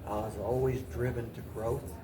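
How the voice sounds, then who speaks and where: Halting older man, roomy distant recording. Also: mid-range voice, steady tone, noisy background. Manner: halting, reflective, repeats and restarts phrases. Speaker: mayor, talk show guest. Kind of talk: conversational interview answers. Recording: roomy, distant mic, voices in background.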